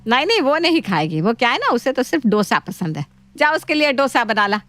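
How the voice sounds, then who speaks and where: Woman acting a bossy, gluttonous cartoon mother-in-law, high-voiced. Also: sing-song, fairly quick, a bit bright. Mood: smug, bossy. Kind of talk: animated Hindi moral-story cartoon.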